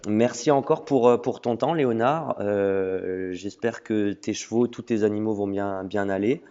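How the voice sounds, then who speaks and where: A man's voice: lowish voice, a little slow, warm tone. A man hosting a casual interview over an audio call, asking questions with frequent hesitation sounds. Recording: lo-fi audio with a long reverb.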